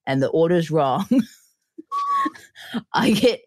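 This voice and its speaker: A woman's voice: sits low, expressive, takes it slowly, darkish tone, slight background noise. A woman with a nasal voice chatting casually, rambling, laughing often and swearing. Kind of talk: casual chat.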